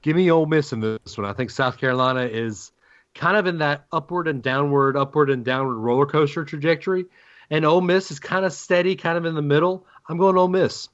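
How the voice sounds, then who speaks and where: A man's voice: on the high side, fairly quick, fairly bright tone. A man hosting a sports podcast with casual banter, moving the conversation along. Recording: dull lo-fi podcast audio.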